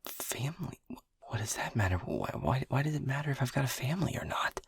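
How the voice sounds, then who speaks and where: A man playing an anxious, protective young lab technician who stammers and keeps his voice to a hushed whisper. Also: mid-range voice, big pitch swings, quick pace, creaky, slightly rough, dry recording, full character voice. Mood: urgent whisper. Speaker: audio roleplay voice actor. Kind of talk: audio roleplay acting.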